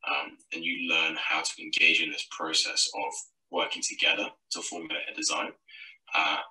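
Male student from Malaysia, low and flat, distant roomy recording. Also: normal speed. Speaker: architecture student. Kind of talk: testimonial for a promo film.

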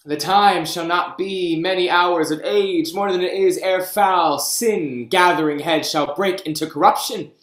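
A man's voice: high voice, roomy sound. A man playing a deposed king, reading verse with sorrow and bitterness on a video call. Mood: bitter. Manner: slow, mournful verse with sharp swells. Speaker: actor in a staged reading. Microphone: webcam on a video call.

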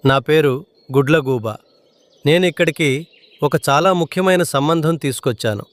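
A man's voice: a little high, normal speed, no echo, lightly acted. A man voicing a polite, formal owl matchmaker in a children's cartoon. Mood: courteous. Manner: courteous, formal. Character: polite male owl matchmaker from a faraway forest.

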